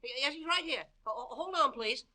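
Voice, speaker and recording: A man's voice: very high voice, animated, brisk, crowd noise. An actor playing a chatty, nosy male barber, stammering and rambling in 1940s radio style. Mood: flustered.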